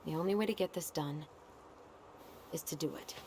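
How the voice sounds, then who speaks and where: A guarded, practical young American woman in a video game. Her voice sits a little low and she speaks in a dry, understated way. Clear background noise and ambience run behind her. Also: moderate pace, a little mellow. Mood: matter-of-fact. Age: young adult. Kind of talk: naturalistic video game cutscene acting. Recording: noisy game stream capture with ambience.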